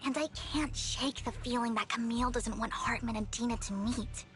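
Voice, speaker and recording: Game role: actress as devoted young swordswoman, high voice, formal and protective, loud music underneath. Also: normal speed, natural voice. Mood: earnest, concerned. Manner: formal, eloquent, earnest. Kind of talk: video game voice acting.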